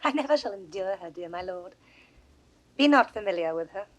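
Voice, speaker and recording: A woman's voice: mid-range voice, lively intonation, brisk, close to an everyday voice. A woman playing a jealous, possessive royal lady, probing and insistent, in classical Shakespeare audio drama style.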